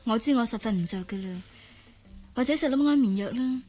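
A woman plays a young Hong Kong Cantonese fiancée in a radio drama. She sounds girlish, devoted and caring, in a fairly high voice with soft music faintly behind her. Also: animated, a little fast, lightly acted.

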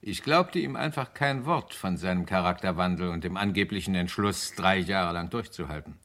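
An actor playing a confident British police inspector in a German radio crime drama, explaining methodically with deliberate emphasis. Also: male voice, sits low, a bit bright. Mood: satisfied, emphatic.

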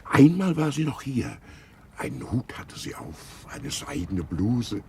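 Male village coachman servant, creaky low voice, blunt, gossipy and rustic, 1960s radio drama, faint ambient noise. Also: wildly swooping pitch, takes it slowly, a little mellow, full character voice. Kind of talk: 1960s German radio play acting.

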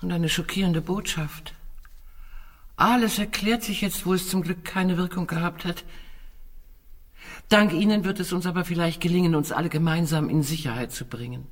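A woman's voice: on the low side, normal speed, lightly acted. A woman playing a refined, composed yet eager widowed Madame on an Egyptian expedition in a German radio play, with a courteous, theatrical delivery. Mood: grateful, composed. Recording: radio drama with faint music.

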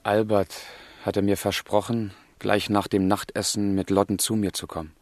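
A man's voice: lowish voice, level tone, moderate pace, soft background music, natural voice. An actor playing a passionate, brooding young man of the 1770s in a literary radio drama, with fervent, declamatory delivery. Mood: wistful, tender. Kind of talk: literary radio drama, dialogue and letter monologue.

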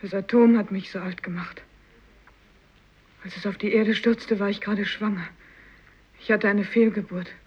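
Eine Schauspielerin spielt in einem literarischen Hörspiel eine trauernde, vorzeitig gealterte Fischersfrau. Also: female voice, mid-range voice, steady tone, normal speed, long pauses, slightly rough, lightly acted. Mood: sorrowful. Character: bereaved fisherwoman, aged beyond her years, secretive grief. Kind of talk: 1950s literary radio play acting. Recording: dull, lo-fi radio recording.

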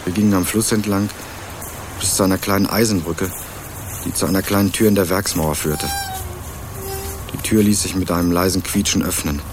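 A man's voice: low voice, fairly even intonation, moderate pace, pauses often, noisy background, lightly acted. An actor playing a laconic, guarded young male student from Berlin in a matter-of-fact radio crime drama. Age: young adult. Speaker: narrator and lead actor. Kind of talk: first-person radio crime drama narration and dialogue.

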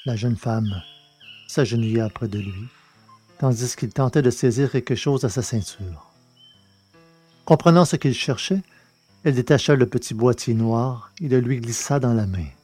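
A man narrates a novel in a measured, deliberate way. His voice is mellow and dark-toned, set at an average pitch for a man. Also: breathy edge, soft background music. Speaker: audiobook reader. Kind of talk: scripted narration of a science-fiction novel.

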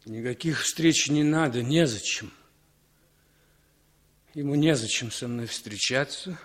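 A man's voice: medium pitch, slow, a bit bright. An actor playing a wary, reluctant man with a terse, subdued manner in a 1960s radio play. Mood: reluctant, troubled. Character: wary, weary émigré man, guarded and reluctant.

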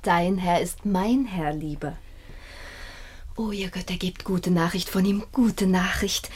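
Breathy, eager princess. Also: female voice, lowish voice, steady tone, slow pace, darkish tone. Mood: eager, imploring. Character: eager, loving young princess longing for her husband. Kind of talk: classical radio drama acting.

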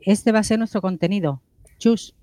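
A man's voice: high-pitched, moderate pace, dark tone, dry recording, studio quality. A man co-hosting a radio programme, introducing segments and putting questions to guests. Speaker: radio co-host.

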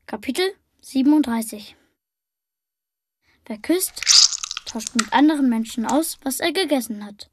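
An earnest young child in a radio drama, very solemn about naive science. The voice is high, reciting matter-of-factly over noticeable noise. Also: female voice.

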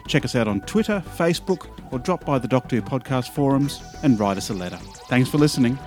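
A man's voice: mid-range voice, animated, fast talker, a little mellow, soft background music. A man reading a scripted podcast outro as the show's announcer.

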